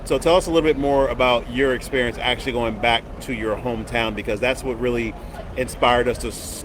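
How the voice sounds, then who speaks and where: A man hosting a casual livestream outside a hotel, upbeat and folksy, laughing easily. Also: mid-range voice. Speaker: host. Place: outdoors by a hotel on a busy street. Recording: loud outdoor traffic noise.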